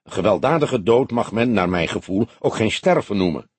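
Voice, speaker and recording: A man voicing an old police detective in an audiobook, solemn, philosophical and gentle, speaking in a grave, measured, lecturing way. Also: on the low side, animated, breathy edge, no echo. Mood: earnest. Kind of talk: audiobook character dialogue.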